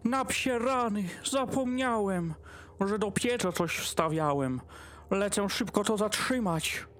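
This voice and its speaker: A woman's voice: mid-range voice, takes it slowly, close to an everyday voice. A woman playing a fussy, harried male dog hosting a holiday meal, declaiming in rhyme in an audio drama. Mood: flustered. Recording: music behind the voice.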